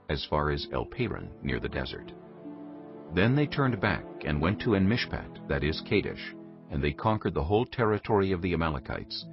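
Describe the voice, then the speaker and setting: A man with an extremely deep voice narrates scripture as measured storytelling. His delivery is expressive and varied in pitch, over music on a slightly muffled recording. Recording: dull, band-limited stream with music. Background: clear music.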